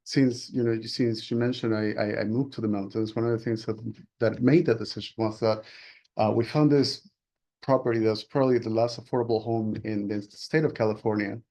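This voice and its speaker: A man from Venezuela, introducing himself on a panel in a relaxed, self-deprecating way. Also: on the low side, a little fast.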